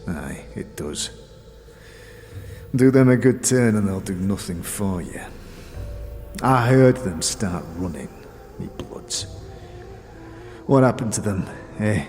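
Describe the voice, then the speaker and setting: An actor playing a crude, bitter, boastful dead thief, a talking corpse with gruff, grumbling, slangy speech, in a fantasy audio drama. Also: male voice, on the low side, lively intonation, slow, pauses often, darkish tone. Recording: long reverberant echo, music underneath.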